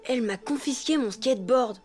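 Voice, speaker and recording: A woman voicing a cheeky, sporty young elephant boy in an animated cartoon, with a casual, boyish delivery. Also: a little high, brisk, faint music behind, natural voice. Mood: determined. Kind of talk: animated cartoon dub acting.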